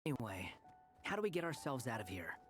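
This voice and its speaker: A man's voice: medium pitch, sing-song, quick pace, loud music behind the voice, lightly acted. A man voicing a prickly, sardonic young wanderer in a video game, speaking curtly. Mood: irritated, curt. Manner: curt, sardonic. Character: prickly, sardonic young male wanderer turned stray cat.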